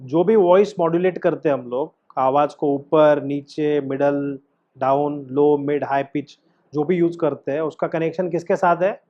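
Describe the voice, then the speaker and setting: Male acting coach, fairly high voice, instructive and questioning, slightly dull recording. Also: fairly even intonation, a little slow. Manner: instructive, emphatic, frequently questioning the student. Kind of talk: teaching an acting class with demonstrated lines and questions.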